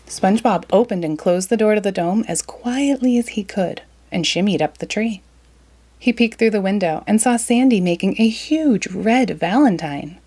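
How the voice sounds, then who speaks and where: A woman narrating a children's storybook in an animated, expressive read-aloud. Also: medium pitch, moderate pace, breathy edge.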